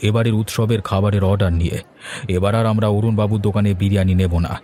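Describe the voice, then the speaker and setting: A man playing a principled city gentleman in a cartoon story, speaking formally and sternly, as if giving a moral lesson. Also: lowish voice, little pitch movement, fast, natural voice. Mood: firm.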